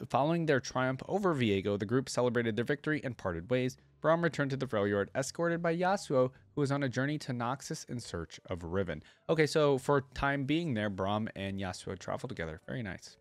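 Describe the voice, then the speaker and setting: A man with a mid-range voice hosts a reaction video in a casual, conversational way. He moves between reading text aloud and thinking out loud about it. The sound is dry, with very little room in it. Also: medium pitch, no room sound. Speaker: YouTube reaction host.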